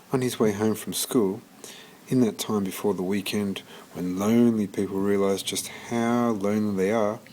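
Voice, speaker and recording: A man reading a children's picture book aloud as scripted narration, expressively and with deliberate pauses. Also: fairly low pitch, darkish tone, slight background noise, poor audio. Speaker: storybook reader.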